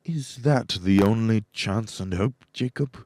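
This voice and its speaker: A man playing a nervous, crotchety old miser in a radio play, husky and faltering, with a theatrical manner. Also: on the low side, unhurried, darkish tone, a little hiss or hum, natural voice. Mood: reluctant.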